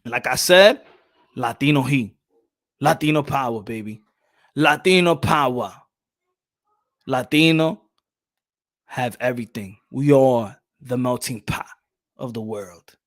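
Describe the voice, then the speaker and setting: A young adult man hosting a casual boxing livestream, animated and repeating his phrases as he reads out the chat. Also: mid-range voice, takes it slowly, long pauses. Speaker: boxing channel host.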